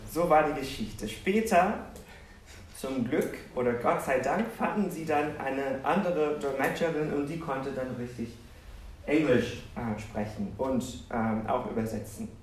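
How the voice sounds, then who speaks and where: Animated preacher in an echoey hall. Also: male voice, mid-range voice, measured pace, slight background noise. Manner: animated, self-deprecating, asks the congregation questions. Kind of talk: sermon with personal storytelling. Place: church hall with congregation. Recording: roomy, distant church recording.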